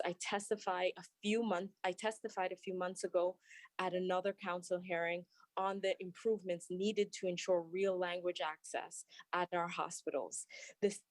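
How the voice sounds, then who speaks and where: A young woman, a doctor, giving earnest prepared testimony at a public hearing, with halting restarts, speaking remotely from her workplace. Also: fairly low pitch, no room sound, low-quality recording. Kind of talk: prepared testimony, read aloud.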